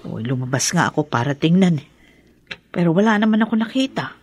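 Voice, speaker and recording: Husky, suspicious older mother. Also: on the low side, animated, brisk, darkish tone. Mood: doubtful, suspicious. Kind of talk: radio drama acting.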